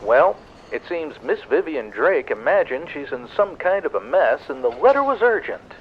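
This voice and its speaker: Muffled, animated actor as a noir police lieutenant. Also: male voice, a little high, moderate pace, a little hiss or hum. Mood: businesslike. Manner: wisecracking noir patter. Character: wry, tough 1940s police lieutenant. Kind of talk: 1940s noir radio acting.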